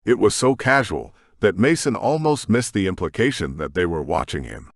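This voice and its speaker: Brief: male author-narrator, lowish animated voice, dry close studio sound. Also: normal speed, no echo. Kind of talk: scripted audiobook narration.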